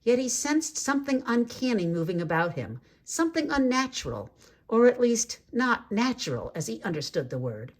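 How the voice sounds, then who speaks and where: A woman reading a children's story aloud in an expressive, deliberate way with dramatic phrasing. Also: a little high. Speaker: storybook reader. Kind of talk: read-aloud children's story narration with asides.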